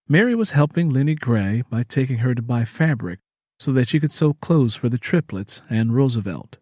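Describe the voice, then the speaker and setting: A man's voice: mid-range voice, dark tone, husky, no echo, clean studio sound. A man narrating a scripted dramatic story as an audiobook.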